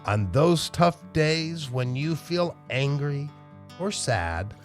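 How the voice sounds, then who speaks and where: A man voicing a wise, encouraging magical butterfly in a children's storybook, gentle, measured and reassuring. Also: a little high, sing-song, soft background music.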